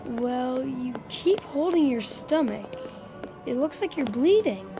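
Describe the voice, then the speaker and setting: A woman playing a gentle little boy devoted to his father, speaking simply and childishly in radio-drama style. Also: high-pitched, expressive, slow pace, vocal fry, music in the background, heavily acted, low-quality recording. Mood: eager, innocent. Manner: simple, childlike, brief replies. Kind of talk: dramatic radio-play acting.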